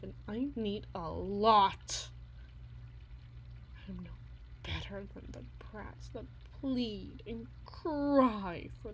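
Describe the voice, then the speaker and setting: A woman's voice: mid-range voice, a bit bright, slight background noise, low-quality recording. A woman playing a bitter, unhinged, anguished wife in a dramatic ASMR roleplay monologue, theatrical and moving between whispers and intense outbursts. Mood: wounded, accusing.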